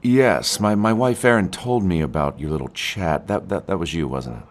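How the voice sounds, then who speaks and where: Very deep-voiced, smug male suspect. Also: animated, moderate pace, slight background noise. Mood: smug, mocking. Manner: sardonic, mock-polite, stammering at times. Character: smug, evasive male murder suspect, condescending husband. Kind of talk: audio drama acting.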